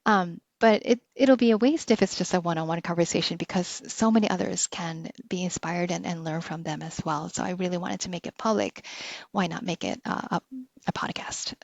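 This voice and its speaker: A woman's voice: fairly low pitch, steady tone, a little fast, gravelly, no echo. A woman hosting a podcast, talking in a casual, reflective monologue. She speaks earnestly, with frequent "um"s and small laughs. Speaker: podcast host. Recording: dull, slightly lo-fi dry recording.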